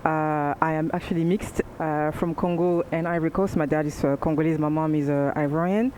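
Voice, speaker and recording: A woman from France speaking on a panel in a measured, hesitant way with frequent pauses. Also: low voice, fairly even intonation, darkish tone, slight background noise. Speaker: panel guest. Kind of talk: casual panel chat.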